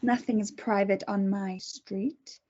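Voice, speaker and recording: A woman voicing a calm, clinical woman who holds a captive and experiments on her, reading in a table read. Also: mid-range voice, slow. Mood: cold. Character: coolly menacing woman doctor-kidnapper Beth. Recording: dull lo-fi recording.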